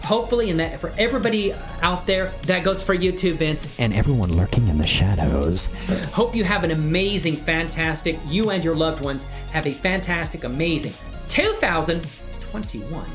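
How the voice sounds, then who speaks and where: Female live-stream tutorial host, low-pitched voice, informal and upbeat, buddy-like with the chat, soft music underneath. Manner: upbeat, addresses viewers as man and bro. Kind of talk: casual live tutorial chat, thinking aloud.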